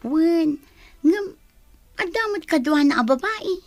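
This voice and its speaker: High-pitched young woman student, played by an actress, Ilocano accent, anxious, dutiful, dry studio sound, audio drama. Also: expressive, takes it slowly, no room sound, heavily acted. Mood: curious, hesitant. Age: young adult.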